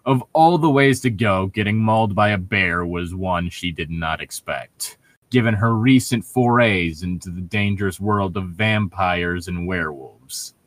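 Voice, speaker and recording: A man's voice: deep voice, a little slow, dry recording. A man hosting a casual comedy read-along, bantering and reading aloud in a wry, joking, conversational way. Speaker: podcast host reading fan fiction aloud.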